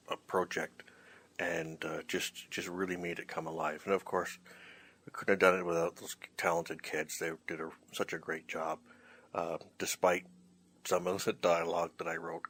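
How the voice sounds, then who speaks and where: A man with a very low, bright, forceful voice co-hosts a casual chat. He talks as a writer-director, feeling his way through sentences with modest, self-deprecating phrasing. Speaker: co-host, writer and director of an audio play. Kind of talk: casual hosted chat introducing out-takes.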